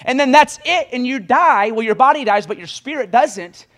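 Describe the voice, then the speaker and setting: A man. His voice sits very high for a man, and he drives his points hard, racing ahead and then punching words out louder. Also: animated. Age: 20s. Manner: impassioned, rapid exhortation with sudden bursts of volume. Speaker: preacher. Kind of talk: sermon.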